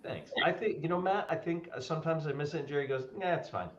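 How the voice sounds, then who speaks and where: A man's voice: a little high, fast, reverberant, lo-fi sound, a little hiss or hum. A man hosting a live podcast, talking with energy and lots of fillers and restarts. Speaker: podcast host.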